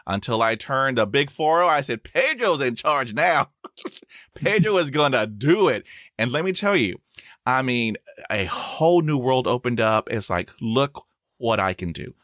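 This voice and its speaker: A man's voice: medium pitch, lively intonation, a little slow, a bit bright, no echo, studio quality. A man in his forties who stutters, hosting a podcast in a casual, emphatic interview chat. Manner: stutters, with repeated sounds and fillers; emphatic, self-affirming. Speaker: podcast host.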